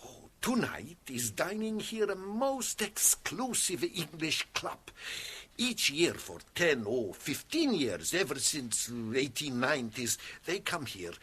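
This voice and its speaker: An actor playing an excitable older foreign waiter in a British radio drama, with theatrical, drawn-out phrasing. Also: male voice, on the high side, slightly rough, exaggerated voice. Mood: proudly explaining.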